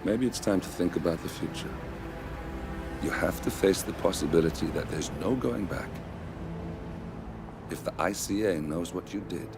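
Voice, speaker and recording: Deep-voiced sardonic partner, music under him. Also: male voice, normal speed, creaky, pauses often. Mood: wry. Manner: wry, easygoing and sardonic. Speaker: narrator and ally. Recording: game cutscene over music.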